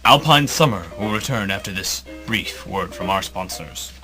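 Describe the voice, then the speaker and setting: A fairly low-pitched announcer acted by a man narrates theatrically over clear background music. The delivery is that of a mock old-time radio serial, presentational and dramatic. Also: lively intonation, moderate pace. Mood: salesman-like.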